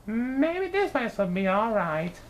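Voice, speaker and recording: Storybook mother bird, played by a woman: bossy, homely, high-pitched and sing-song. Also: sing-song, takes it slowly, a little hiss or hum. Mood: pleased. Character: bossy, fussy female mother bird, sweetly contented at home.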